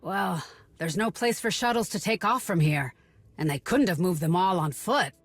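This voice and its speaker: A woman's voice: on the low side, lively intonation, normal speed. Blunt, guarded young woman. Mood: businesslike. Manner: blunt, sardonic, distrustful. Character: guarded, bitter young local woman searching for missing sister. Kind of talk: video game cutscene acting.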